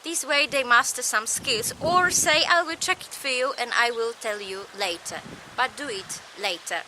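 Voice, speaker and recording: A woman with a Polish accent, a native Polish speaker, giving a recorded lecture in slow, measured, short phrases. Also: a little high, bright, forceful tone, slight background noise, rough recording.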